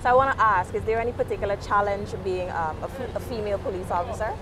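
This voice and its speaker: A woman's voice: medium pitch, fast talker. A woman with a Guyanese accent hosting a street interview, chatty and encouraging with her guest. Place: outdoors by a street junction. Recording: outdoor street noise.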